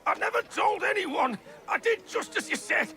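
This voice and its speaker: A man's voice: very high voice, animated, moderate pace, over music. An actor playing a frightened, pleading apothecary man in a video game cutscene. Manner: panicky, pleading.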